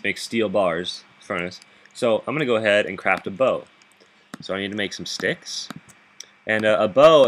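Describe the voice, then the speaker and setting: A man with a very deep voice giving rambling gameplay commentary from his bed into a laptop microphone, chuckling often and restarting his sentences. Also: fairly bright tone. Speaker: gaming video host. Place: bedroom, sitting up in bed.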